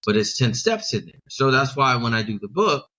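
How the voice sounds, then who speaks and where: A middle-aged man who coaches sales talks in a mid-pitched voice, making emphatic points, repeating himself and throwing in rhetorical questions. The sound is slightly dull and lo-fi. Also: sing-song, moderate pace, bright, forceful tone. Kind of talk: podcast interview.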